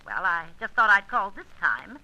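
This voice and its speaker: A woman's voice: mid-range voice, big pitch swings, normal speed, bright, forceful tone, muffled recording, exaggerated voice. A woman playing a breezy, slangy, teasing American on the telephone in a spy radio serial, with a phone filter on her voice. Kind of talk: old-time spy radio serial acting.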